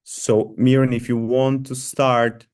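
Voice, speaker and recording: A man's voice: medium pitch, level tone, slow, darkish tone. A man hosting an online seminar over a video call, talking in an apologetic, hesitant way, with many filler sounds. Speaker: seminar host.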